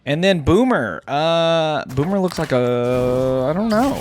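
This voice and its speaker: Man giving lively, casual reaction commentary. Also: a little high, takes it slowly, slight background noise. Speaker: reaction video host. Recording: music behind the voice. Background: game music and effects.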